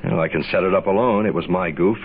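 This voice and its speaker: Actor as very deep-voiced, deadpan private eye. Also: male voice, fast talker, noisy background, natural voice. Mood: wry. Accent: American. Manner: laconic, deadpan. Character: cool, wry hard-boiled male private investigator. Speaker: lead actor and narrator. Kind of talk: 1960s radio detective drama acting, first-person narration. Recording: muffled phone-like old radio, music behind.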